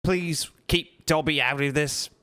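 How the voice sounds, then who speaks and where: A man voicing an eager, devoted male house elf who talks in a high, earnest voice and names himself. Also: a little slow, bright, forceful tone. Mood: scared.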